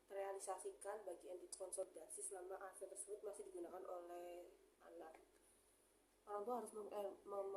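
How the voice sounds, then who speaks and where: A young woman reading out a class presentation haltingly, stumbling over English terms. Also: medium pitch, mellow. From Indonesia. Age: young adult. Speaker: student presenter. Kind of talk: class presentation, reading accounting slides aloud. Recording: roomy echo, faint background noise.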